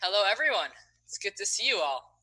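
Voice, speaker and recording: A woman's voice: medium pitch, sing-song, fairly quick, pushed and bright, slightly rough, poor audio. A woman hosting a riddle game on a video call, upbeat and giving friendly instructions. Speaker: game host for an online Hebrew school.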